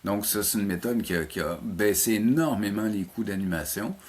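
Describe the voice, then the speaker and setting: A man's voice: fairly low pitch, normal speed, darkish tone. A middle-aged man with a Quebec French accent, answering interview questions conversationally as a teacher, often hesitating and repeating words as he explains. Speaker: college animation teacher and programme coordinator, interview guest.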